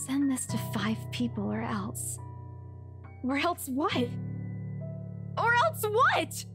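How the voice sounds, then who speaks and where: Horror game role: young woman in mortal terror, piercingly high, panicked pleading over loud music. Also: huge pitch swings, takes it slowly, heavily acted. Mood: shaken dread. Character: terrified young woman trapped with a ghost. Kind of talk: horror visual novel voice acting.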